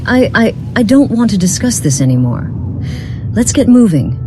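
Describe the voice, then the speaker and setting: A woman's voice: a little high, sing-song, background noise. A woman voicing a lonely, long-lived otherworldly being in a role-playing game, speaking in formal, philosophical phrases. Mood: flustered. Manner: formal, philosophical, measured wording. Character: weary, long-lived, lonely woman from beyond the mortal world.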